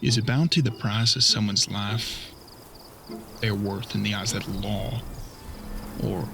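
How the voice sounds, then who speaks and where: A man's voice: lowish voice, vocal fry. A man playing an earnest, thoughtful bounty hunter's apprentice in a western audio drama, with folksy frontier talk. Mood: reflective.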